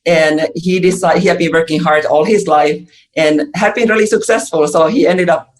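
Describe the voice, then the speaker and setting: A woman from Finland telling her story as a podcast guest, lively and chatty. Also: low-pitched, moderate pace. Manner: lively, chatty, laughs often. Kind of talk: interview storytelling.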